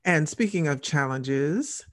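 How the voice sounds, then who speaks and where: A woman's voice: deep voice, animated, slow pace. An older woman hosting a conversational interview over a video call on a headset. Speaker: radio show host.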